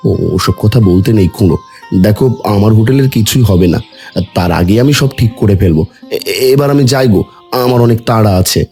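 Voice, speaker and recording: A man's voice: on the low side, fairly quick, mellow, faint music behind, close to an everyday voice. A man voicing a middle-aged village hotel owner in a cartoon, stammering and lamenting his ruin.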